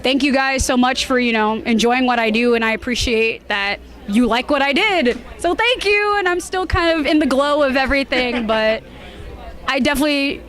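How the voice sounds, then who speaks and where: A woman with a high voice speaks casually as a guest in an interview. She is expressive and bubbly, talking in an informal, conversational way about her hobby. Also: normal speed. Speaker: cosplayer being interviewed.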